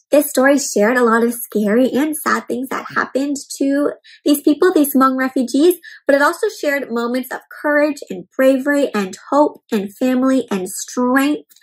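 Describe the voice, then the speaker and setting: A young woman reading a storybook aloud to children in an expressive, explanatory way. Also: on the high side, breathy edge, rough recording. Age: young adult. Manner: expressive, explanatory, asks the listener questions. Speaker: host of a children's read-aloud show. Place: indoor room at home.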